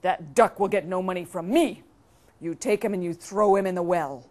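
A woman's voice: lowish voice, normal speed, darkish tone, close to an everyday voice. A woman puts on a stingy, bad-tempered storybook king. He speaks in curt, commanding bursts, as one used to ordering servants about. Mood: indignant. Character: grumpy, miserly male king barking orders.

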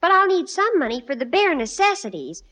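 Giddy college girl, high voice, lively swoops, 1950s radio sitcom. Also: brisk, heavily acted. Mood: breezy. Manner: breathless, dramatic, giggly. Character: excitable young woman college-age daughter. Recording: old radio broadcast recording.

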